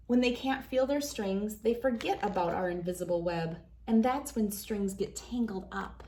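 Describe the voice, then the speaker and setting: A woman's voice: a little high, warm tone. Woman reading a children's story aloud. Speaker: read-aloud host. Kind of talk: children's picture book read-aloud.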